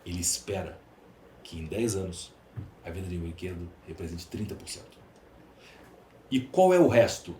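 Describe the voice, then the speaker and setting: A man's voice: deep voice, animated, normal speed, pauses often, dark tone, a little hiss or hum. Brazilian guy being interviewed, echoey room, talks emphatic and repeats stuff to make his point. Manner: emphatic, rhetorical, repeats phrases for effect. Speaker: podcast guest, entrepreneur. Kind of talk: conversational interview, storytelling and opining. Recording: roomy, echoey sound.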